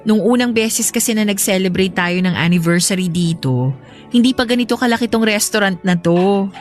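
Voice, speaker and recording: A woman's voice: mid-range voice, moderate pace, natural voice. A woman playing a practical, contented girlfriend in an audio love drama, with a conversational, reasoning manner. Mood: fond, chatty. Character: contented adult girlfriend, practical, unconvinced about marriage. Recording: music bed behind the voice.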